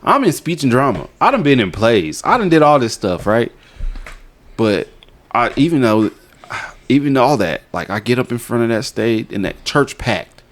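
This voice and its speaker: A man's voice: big pitch swings, normal speed. A man, chatting casually on a podcast and telling stories with laughs. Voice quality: deep voice. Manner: storytelling with laughs, Southern US slang. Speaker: podcast co-host.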